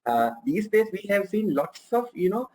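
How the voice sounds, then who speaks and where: High-pitched man from Sri Lanka, rambling panelist full of fillers. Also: sing-song, moderate pace, a little mellow, poor audio. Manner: repeats "you know" constantly. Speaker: panelist, consumer goods executive. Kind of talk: panel discussion answer.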